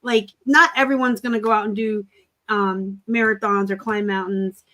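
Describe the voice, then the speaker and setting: A woman's voice: medium pitch, steady tone, moderate pace, a bit bright. A woman in her forties co-hosting a casual live chat, blunt and joking as she tells a story. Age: 40s. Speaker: co-host of a reseller live chat.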